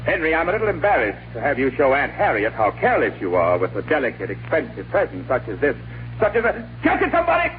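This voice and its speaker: A man's voice: fairly high pitch, huge pitch swings, quick pace, a little hiss or hum. An actor playing a pompous, lecturing middle-aged father in a 1940s radio comedy on a tinny broadcast.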